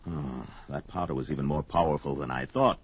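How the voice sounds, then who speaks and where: A man's voice: very deep voice, brisk, slightly rough. An actor playing a bold, grim barbarian warrior who narrates his own actions melodramatically in an audio adventure drama. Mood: grim, resolute. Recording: dull lo-fi audio drama under music.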